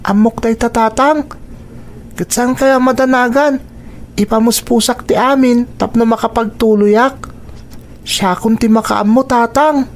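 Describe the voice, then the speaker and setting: A man's voice: very high-pitched, fairly even intonation, measured pace, slight background noise. A man with a Filipino accent acting an earnest, determined young son from a poor family in an audio drama. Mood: earnest, pleading.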